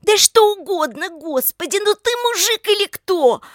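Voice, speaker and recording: A woman voicing a frantic, bossy young woman who shouts urgent pleas and commands in an audiobook. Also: sits high, expressive, slow pace, dry recording, clean studio sound, pitched above the performer's own voice, heavily acted. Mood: panicked. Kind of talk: audiobook character acting.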